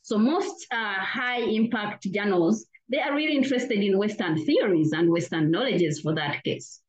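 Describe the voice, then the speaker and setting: A woman's voice: medium pitch, animated, normal speed. Earnest woman from Uganda, lo-fi. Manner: earnest, with frequent "you know" fillers and restarts. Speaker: academic guest. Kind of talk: interview answer. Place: lives in Australia.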